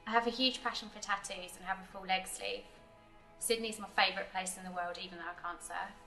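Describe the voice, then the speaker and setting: A woman's voice: mid-range voice, fairly even intonation, fairly quick, sharp tone, faint music behind. A woman in her late twenties from England, determined and open in a scripted testimonial. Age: 20s. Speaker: employee sharing her story. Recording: roomy video recording.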